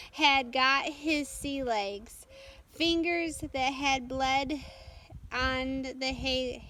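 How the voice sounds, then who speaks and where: High-voiced woman reading aloud slowly. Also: a bit bright, slight background noise, rough recording. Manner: careful, halting reading with stumbles and self-corrections. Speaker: storytime reader. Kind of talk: read-aloud storybook narration.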